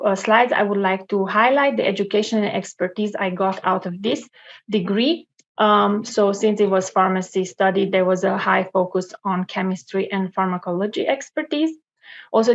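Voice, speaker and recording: A woman in her thirties, from Romania, talks through her scientific career in a presentation. Her voice has a gravelly fry and she stops often on fillers. The audio sounds muffled and dull. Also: medium pitch. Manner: halting, many fillers. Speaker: scientist giving a career talk.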